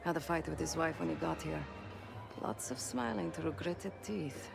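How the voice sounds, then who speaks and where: A cool female operative in a game cutscene, speaking in a low voice with a gravelly edge. She is dry, watchful and controlled, with music behind her. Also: moderate pace. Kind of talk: video game cutscene acting.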